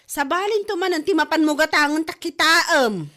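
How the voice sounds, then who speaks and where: A woman's voice: high-pitched, expressive, normal speed, sharp tone. An actress playing a bossy, teasing woman in a comedy drama, speaking with an Ilocano accent. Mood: nagging.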